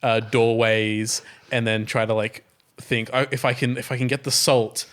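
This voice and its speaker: A man's voice: mid-range voice, little pitch movement, normal speed, a bit bright. A man chatting casually as he plays an improvised tabletop game, thinking aloud in hesitant, broken phrases.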